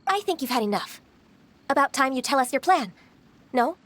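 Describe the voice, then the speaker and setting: An actress playing an earnest young female official in a video game, speaking in a formal, measured and courteous manner. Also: sits high, expressive, fairly bright tone. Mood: stern, composed. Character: polite, solemn, earnest young official woman.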